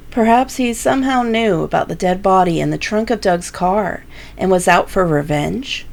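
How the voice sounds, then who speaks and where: A woman's voice: fairly low pitch, creaky, a little mellow. A woman presenting a mystery anthology with scripted narration. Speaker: host and presenter of an anthology show. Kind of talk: scripted narration, rhetorical mystery-show questions.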